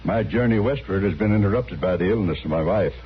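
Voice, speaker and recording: Deep-voiced, courteous, anxious gentleman. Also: fast, breathy edge. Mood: worried, polite. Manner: formal, polite, hesitant. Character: formal, courteous, anxious gentleman traveler, older husband. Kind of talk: old-time radio western acting. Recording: muffled, noisy old radio recording.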